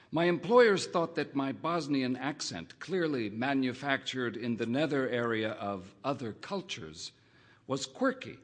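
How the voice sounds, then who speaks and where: A man reading a first-person literary short story aloud, in a measured, wry narrating manner. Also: medium pitch. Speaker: audiobook reader.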